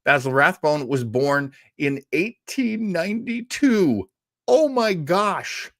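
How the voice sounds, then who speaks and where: Animated, rambling man hosting informally. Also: on the high side, slow pace, no echo, studio quality. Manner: rambling, enthusiastic storyteller. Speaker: host of an old radio and film show. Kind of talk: informal, unscripted talk on film history.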